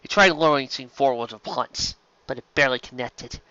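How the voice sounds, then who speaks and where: A man narrates a story in a bright, forceful voice. He reads scripted prose dramatically. The recording is very dry and a little dull. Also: medium pitch, moderate pace, clear, resonant tone, no echo. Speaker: reader of fan fiction.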